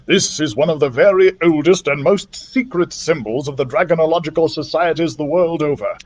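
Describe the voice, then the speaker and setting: A man reading a storybook part as a learned older dragon scholar, deliberate, emphatic and commanding. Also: a little high, a little mellow, lightly acted. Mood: excited, revealing.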